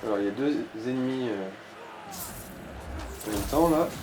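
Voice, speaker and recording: A man's voice: medium pitch, moderate pace. A man guiding a player through a video game with casual tips and comments. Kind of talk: casual gaming chat, giving tips. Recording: roomy echo, faint background noise.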